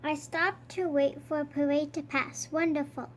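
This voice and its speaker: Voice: high-pitched, steady tone, moderate pace. A child reading a children's picture book aloud as a storyteller, with faint noise in the recording. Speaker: storybook reader.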